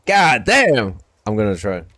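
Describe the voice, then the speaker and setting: A man's voice: on the low side, measured pace, no echo, clean studio sound. A man from Czechia with a Czech accent, chatting casually with viewers during a live game stream, reading their messages aloud and mumbling short asides. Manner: relaxed, mumbling asides, repeats short phrases.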